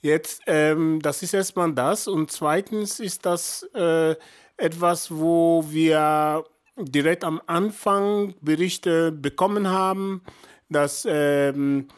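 Slow, halting man in his fifties, from Nigeria. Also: a little high, clear, resonant tone. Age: 50s. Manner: halting, many fillers and restarts. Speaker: guest, journalist and activist. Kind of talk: podcast interview answers.

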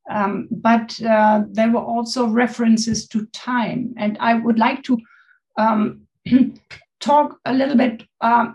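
A woman on an academic panel thanking the speaker and asking a question, measured and thoughtful with pauses. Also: on the high side, darkish tone, rough recording. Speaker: panel discussant.

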